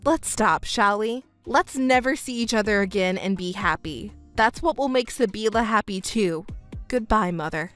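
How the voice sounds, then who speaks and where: A woman voicing a calm, cold young stepdaughter in a melodramatic audio drama. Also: medium pitch, faint music behind, lightly acted. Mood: dismissive. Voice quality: cool, measured, firm.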